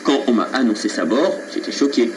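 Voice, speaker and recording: A man making a prank phone call in a hesitant, halting way, keeping a deadpan, earnest tone. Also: crowd noise. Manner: hesitant, halting, feigned seriousness. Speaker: prank caller. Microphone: telephone line. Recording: noisy low-quality telephone recording from 2001.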